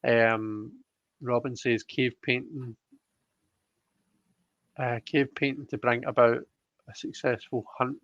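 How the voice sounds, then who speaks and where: A man's voice: medium pitch, steady tone, takes it slowly, pauses often, a bit bright, no echo, highs cut off. A man hosting a casual livestream, chatting informally and a little hesitantly. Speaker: host of a live tarot reading show. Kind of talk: casual livestream chat, reading out comments.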